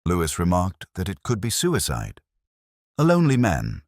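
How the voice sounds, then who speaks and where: A man narrating a scripted first-person detective story in a measured, brooding, literary manner. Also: low-pitched, huge pitch swings, dry recording, studio quality.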